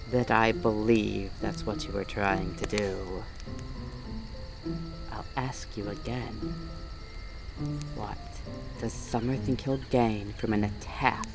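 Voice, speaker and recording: A man playing a wary fae guard of the Autumn Court, stern but soft-hearted, in a roleplay audio drama spoken straight to the listener in an accusing, wry manner. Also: on the low side, slow pace, vocal fry, long pauses, over music. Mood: sly, challenging.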